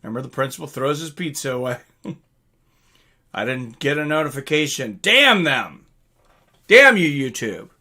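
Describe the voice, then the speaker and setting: A man's voice: mid-range voice, very animated, moderate pace, long pauses, bright, forceful tone. A man hosting a live stream, chatting casually and reading out comments from viewers.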